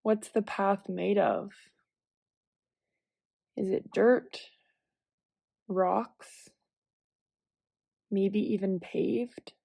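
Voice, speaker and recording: Female meditation guide: unhurried, soft-edged mellow tone, gentle cues, very dry close sound. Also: mid-range voice, vocal fry, no echo. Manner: calm, gentle, measured cueing with long pauses. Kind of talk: guided visualization meditation, instructional.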